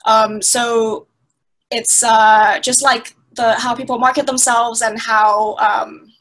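A woman's voice: a little high, little pitch movement, takes it slowly, a bit bright. A woman in her twenties from Thailand, a guest on a video call, answering at length with fillers and restarts. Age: 20s. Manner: many fillers and restarts, thoughtful. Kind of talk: conversational interview answers. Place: small indoor room. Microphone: laptop webcam on a video call.

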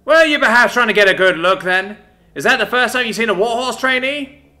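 A man's voice: sits high, expressive, fast talker, voice pushed up, full character voice. A man doing a bellowing drill sergeant. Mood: barking. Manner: shouting orders, clipped and commanding. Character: barking male Air Force drill sergeant, strict.

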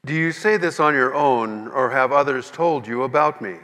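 Man reading as resolute Jesus, bright and echoing. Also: medium pitch, normal speed, lightly acted. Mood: calm, resolute. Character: Jesus, calm, resolute male prisoner on trial. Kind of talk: dramatic scripture reading. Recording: long echoing hall reverb.

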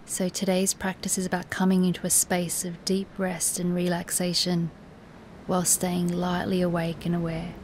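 A woman teaching guided relaxation in a soothing, soft, instructive way, with breathy, near-whispered moments and long pauses. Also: fairly low pitch, monotone, a little hiss or hum. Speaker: yoga nidra meditation teacher.

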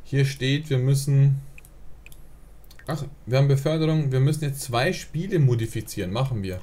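Man, mellow warm voice, rambling livestream chatter, desk mic at home. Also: mid-range voice, normal speed. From Germany. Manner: chatty, rambling, answers chat, frequent fillers. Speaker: live streamer. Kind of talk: casual gaming livestream chat.

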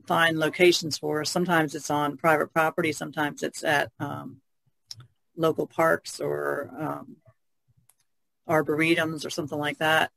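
A woman's voice: deep voice, vocal fry, dry recording. A woman who paints, chatting casually as a guest on a podcast. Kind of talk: casual chat.

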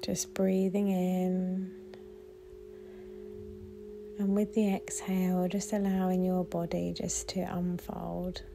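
Soft, flat, creaky-voiced woman guiding meditation. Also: fairly low pitch, music in the background. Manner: gentle, soothing, unhurried, with long pauses. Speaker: meditation guide. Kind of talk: guided body scan meditation.

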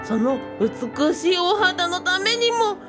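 A woman's voice: very high-pitched, big pitch swings, slow, loud music behind the voice, exaggerated voice. A woman voicing an eager, bookish boyish male sidekick who speaks politely with quirky sentence endings in a comic radio drama.

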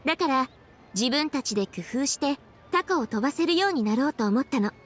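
A woman's voice: sits high, animated, a little fast, soft background music. An actress playing a thoughtful young woman official in an anime game, composed and articulate as she explains things. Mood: composed, explanatory. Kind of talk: anime video game voice acting.

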